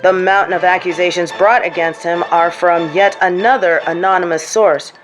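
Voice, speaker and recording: A woman playing a TV news anchor in an audio drama, reading a scripted bulletin in a formal, measured way. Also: lowish voice, steady tone, soft background music, natural voice, rough recording. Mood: formal, serious. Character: female TV news anchor reporting on superheroes and villains.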